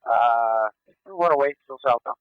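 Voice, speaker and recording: Male police officer, clear smooth tone through dry, dull radio, halting live pursuit commentary. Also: medium pitch, no room sound. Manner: terse, halting callouts. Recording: muffled police scanner radio.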